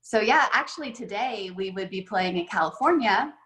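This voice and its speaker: A woman's voice: medium pitch, animated, normal speed, a bit bright. Chatty woman golf coach on a video call. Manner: chatty, anecdotal, many fillers. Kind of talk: casual storytelling on a video call. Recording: echoey room on a video call.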